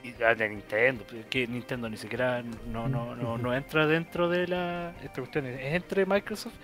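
A man's voice: medium pitch, fairly even intonation, normal speed, fairly bright tone, faint music behind. A Chilean man co-hosting a video game news stream over a video call, arguing his points with many restarts. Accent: Chilean Spanish. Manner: opinionated, thinks aloud with restarts. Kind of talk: casual panel discussion. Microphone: headset on video call.

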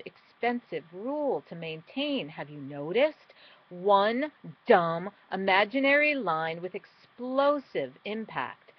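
A middle-aged woman performing a spoken-word poem with dramatic, deliberate phrasing that moves between emphatic and hushed. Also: medium pitch. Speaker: poet. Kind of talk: spoken-word poetry recital. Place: small indoor room at home. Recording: dull, lo-fi webcam sound.

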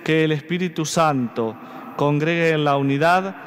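A man in his thirties with an Argentine accent, a priest slowly reciting mass prayers at the altar. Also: fairly high pitch, clean tone. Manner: slow solemn recitation. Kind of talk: liturgical prayer. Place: large church. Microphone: stand mic at altar. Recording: long church reverb.

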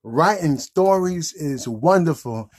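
A man's voice: fairly high pitch, expressive, slow, husky. A man in his thirties hosting a children's puppet show in casual, improvised chat.

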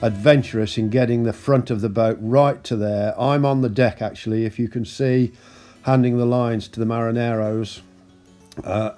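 Relaxed man narrating a sailing trip. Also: mid-range voice. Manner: relaxed, rambling with many ums and uhs. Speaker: sailing vlogger. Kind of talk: casual narration over footage.

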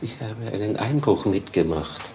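A meek young apprentice in a crime radio play. His voice is on the low side, hesitant and courteous, with noticeable room sound. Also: lively intonation, warm tone. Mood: groggy. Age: young adult. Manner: hesitant, polite, halting. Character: meek young apprentice printer, intimidated suitor. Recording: roomy radio play with faint noise.

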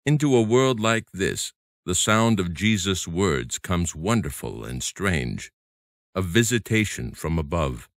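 A man with a deep voice narrates a devotional book at an unhurried pace. His delivery is solemn and deliberate, with plenty of rise and fall in the phrasing. He reads from a script in careful, measured phrases. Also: big pitch swings, dry recording, clean studio sound. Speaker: audiobook narrator.

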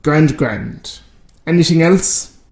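A man's voice: fairly high pitch, slow, vocal fry, darkish tone. A man playing a genial, obliging Irish village priest in an amateur radio drama. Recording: roomy, echoing sound.

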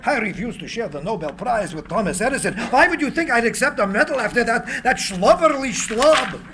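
A proud old inventor, high lively voice, theatrical, scornful bursts. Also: male voice, fairly quick. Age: older. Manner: theatrical, scornful outbursts and laughing asides. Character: elder Tesla, proud eccentric old male inventor. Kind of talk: live script reading. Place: small room with seated audience. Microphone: far camera.

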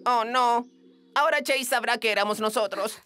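A woman voicing a lively, playful young kitten in a children's cartoon dub, with a Latin American Spanish accent. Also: fairly high pitch, moderate pace, close to an everyday voice. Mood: earnest. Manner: animated, playful. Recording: music bed behind.

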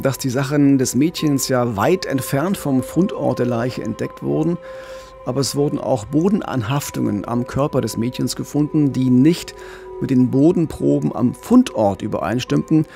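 A middle-aged man co-hosting a true-crime podcast in a radio studio, explaining calmly with some restarts. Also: medium pitch, vocal fry. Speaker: podcast co-host and crime reporter. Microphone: studio microphone with pop filter, headphones.

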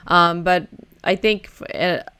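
A woman in her forties hosting a podcast over a video call, friendly and casual, laughing easily and filling pauses with um and uh. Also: on the low side, fairly even intonation, pushed and bright, smooth. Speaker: podcast host. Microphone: close condenser mic.

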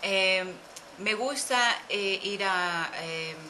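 A woman in her forties from Cuba with a Cuban Spanish accent, answering interview questions slowly with many pauses, recorded on a webcam in a small office. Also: medium pitch, sharp tone, a little hiss or hum. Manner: hesitant, thinks aloud with fillers. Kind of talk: slow, careful conversational answers. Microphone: webcam at desk.

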